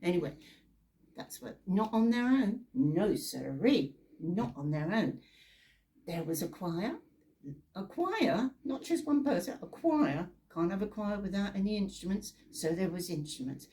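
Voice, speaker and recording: A woman's voice: mid-range voice, expressive, a little slow, dark tone. A British woman telling Bible stories in a chatty, informal way, with theatrical asides and rhetorical questions. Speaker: storyteller.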